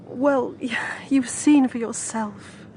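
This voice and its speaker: Slow, grave countrywoman. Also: fairly high pitch, warm tone, slight background noise. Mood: wary, grave. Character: wary, sorrowful countrywoman guardian. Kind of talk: period audio drama acting.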